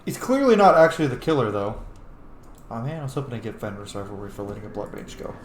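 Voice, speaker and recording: A man's voice: medium pitch, sing-song, fast, noisy background. A man giving casual, offhand gameplay commentary and joking to himself as he plays. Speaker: gaming video host. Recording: roomy echo, faint game music behind. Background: faint game music.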